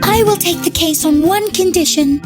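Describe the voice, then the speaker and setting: High-voiced, cunning farmer's wife. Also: female voice, normal speed, music in the background. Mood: firm, menacing. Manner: self-assured. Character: confident, menacing farmer's wife detective. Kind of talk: children's cartoon voice acting.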